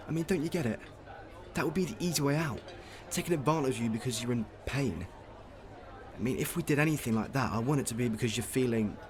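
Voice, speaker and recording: A man's voice: medium pitch, lightly acted. An actor playing a loyal young man who cares about his friend, in a radio soap.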